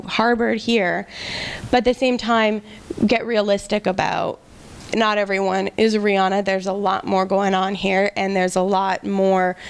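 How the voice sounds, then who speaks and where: Woman seminar instructor, smooth clear voice, casual explaining tone. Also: mid-range voice. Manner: thinks aloud with fillers and restarts. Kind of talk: conversational workshop teaching. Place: seminar room.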